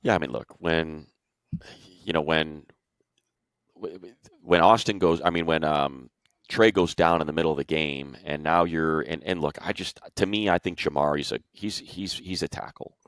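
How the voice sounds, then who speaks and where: A man's voice: very deep voice, steady tone, moderate pace, fairly bright tone, clear, resonant tone, no room sound, studio quality. An American man giving casual sports analysis in an emphatic way, repeating himself for stress. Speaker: sports show analyst, play-by-play announcer.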